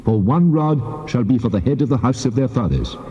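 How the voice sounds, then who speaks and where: An actor playing God in dramatized scripture, solemn, commanding and deliberate, with a cavernous reverb on his voice. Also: medium pitch, animated, dark tone. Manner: solemn, deliberate, authoritative pronouncements. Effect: heavy cavernous reverb. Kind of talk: dramatized scripture, archaic English. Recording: muffled, reverberant hall, old tape.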